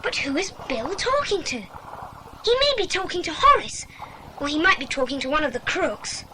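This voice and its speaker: A performer with a British accent playing an eager, plucky child in a children's audio adventure, earnest and excitable. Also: high-pitched, moderate pace. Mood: hushed, intent. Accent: British English. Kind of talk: dramatised children's audiobook acting. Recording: noisy audio drama effects.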